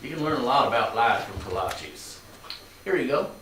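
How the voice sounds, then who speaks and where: A man's voice: mid-range voice, expressive, normal speed, a bit bright, lightly acted. A man acting in a staged play reading as a genial, proud shopkeeper father with a friendly, folksy storytelling manner. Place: live staged reading in a room. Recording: very echoey, distant mic, faint noise.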